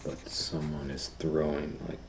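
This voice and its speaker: A man reading a children's book aloud as a storytime educator, in simple, deliberate phrases with explanatory asides. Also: a bass voice, little pitch movement, slight background noise.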